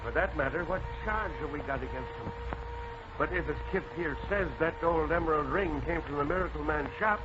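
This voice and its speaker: An actor playing a folksy, blustery middle-aged police sergeant in an old radio drama. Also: male voice, on the high side, a little fast, natural voice. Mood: blustery, resolute. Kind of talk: 1940s radio acting. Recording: noisy muffled old radio recording.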